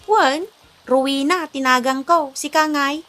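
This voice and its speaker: A woman's voice: high voice, measured pace, fairly bright tone. A woman with an Ilocano accent playing a cheeky, sassy housemaid who talks back in a comedy drama. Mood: amused, defiant. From Philippines. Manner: sassy, giggly.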